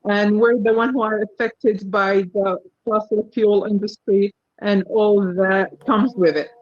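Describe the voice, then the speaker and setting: A woman's voice: medium pitch, little pitch movement, unhurried, no room sound, highs cut off. A young woman from Iraq introducing herself earnestly as a regional representative on a video call. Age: young adult.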